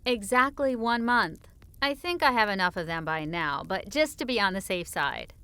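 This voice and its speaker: Smooth, lively, playful wife played by an actress. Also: female voice, mid-range voice, moderate pace. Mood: warm, relaxed. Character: teasing, affectionate wife and author in cozy conversation. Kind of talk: audiobook character dialogue.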